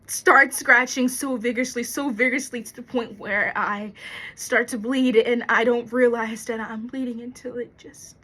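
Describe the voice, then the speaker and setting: A woman performing a theatrical monologue as an anxious, fearful young woman, confiding haltingly with dramatic pauses. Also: medium pitch, fairly bright tone, husky. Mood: anxious, intense. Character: anxious young woman confessing a terror of thunderstorms.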